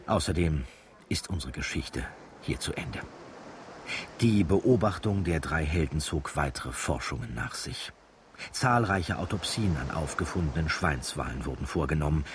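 A wry storyteller in a radio drama, with a low voice. He narrates dramatically, sounding set back from the mic with clear ambient noise around him. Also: recorded from a distance. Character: wry male storyteller of a true-crime style nature drama.

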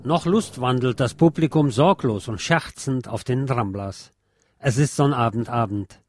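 A man's voice: mid-range voice, measured pace, a little mellow. A man reading a scripted historical narration aloud as a narrator.

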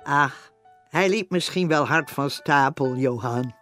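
A woman's voice: low voice, slow, fairly bright tone. Fond female narrator, reminiscing, radio play, mild manner, faint music behind.

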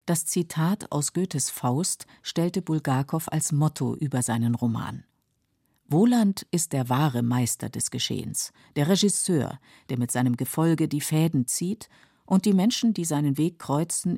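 Low, husky female narrator. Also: expressive, dark tone, dry recording, clean studio sound. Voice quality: husky, creaky. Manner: measured, explanatory. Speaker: narrator of literary radio feature. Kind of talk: scripted documentary narration.

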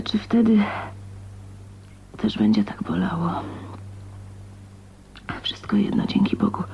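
An actress playing a bitter, lonely spinster of twenty-nine in a radio drama soliloquy, husky, introspective and halting, often half-whispering. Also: female voice, mid-range voice. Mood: weak, pained. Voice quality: breathy, husky. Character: bitter, lonely, ailing 29-year-old spinster heroine, soliloquizing. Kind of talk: 1980s radio drama monologue. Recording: dull, muffled radio recording.

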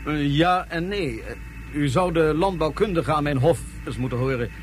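A proud nomad chieftain explains his harsh world in measured, slightly hesitant sentences, his voice a bit high for a man, voiced by an actor in a radio play. A faint hiss runs under the old tape. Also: lively intonation, natural voice. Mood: explaining.

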